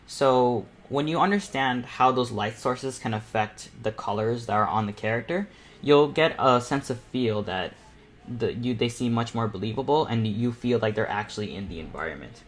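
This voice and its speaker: A young man with a medium-pitched voice hosts an informal painting lesson. He talks off the cuff, circling back and repeating phrases as he works. His delivery is casual and encouraging, like a peer showing others the ropes. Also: lively intonation, moderate pace. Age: teenager or young adult. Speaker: painting panel host and self-taught artist. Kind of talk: casual live tutorial commentary while painting.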